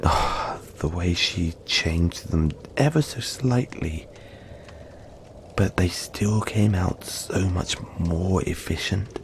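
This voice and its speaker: A man voicing a gentle, cuddly young yeti in a soft-spoken, hesitant, soothing roleplay addressed to the listener. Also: sits low, takes it slowly, slight background noise. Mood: fond, chatty.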